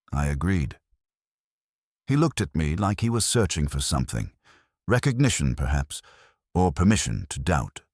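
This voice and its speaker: A man's voice: extremely low pitch, darkish tone, husky, no room sound, studio quality, exaggerated voice. A man voicing a hollow, faithless warrior nun, telling her grim life as scripted first-person story narration in detached, solemn, clipped sentences.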